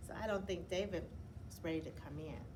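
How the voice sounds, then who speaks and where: A woman teacher reading a picture book aloud to children, explaining gently and often checking in with "right?". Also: lowish voice, fairly quick. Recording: clear background noise.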